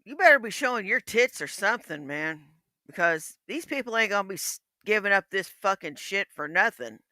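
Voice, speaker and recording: A woman's voice: mid-range voice, animated, a little fast, bright, forceful tone, no echo. A woman in her fifties, blunt, profane and mocking in casual livestream chat. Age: 50s.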